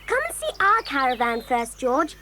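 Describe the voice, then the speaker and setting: A woman's voice: high voice, sing-song, normal speed, very noisy. A woman playing a neat, proper little schoolgirl in a children's audio drama, eager and prim.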